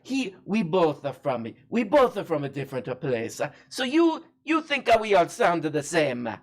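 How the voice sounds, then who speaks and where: A performer voicing a self-styled Sicilian version of a celebrity chef, with a deliberately bad fake Italian accent and halting speech full of "uh" pauses. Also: medium pitch, sing-song. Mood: defensive. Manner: hesitant, halting with many uh pauses. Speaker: comedy guest character.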